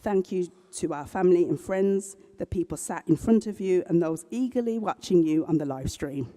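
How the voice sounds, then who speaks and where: A mellow, mid-pitched voice delivers a formal ceremony speech in an earnest, measured way, now and then correcting a word mid-phrase. The sound spreads through a large hall with a long, trailing echo. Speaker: sign language interpreter voicing a graduate's speech.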